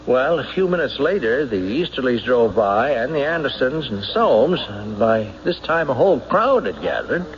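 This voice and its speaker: An animated, mid-pitched male actor's voice of a nervous man under suspicion in a radio mystery. He is evasive and halting, stumbling over his answers. The old broadcast sounds dull, with faint noise. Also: natural voice. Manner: hesitant, halting, stammering under questioning. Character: nervous, evasive man in love, suspected of murder. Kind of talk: old-time radio drama acting. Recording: noisy band-limited old radio broadcast recording.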